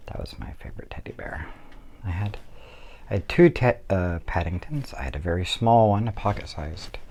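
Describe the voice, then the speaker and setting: Very deep-voiced man, casual drawing guest. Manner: rambling, reminiscing asides. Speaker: guest artist giving drawing lesson. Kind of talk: casual drawing demonstration with chat.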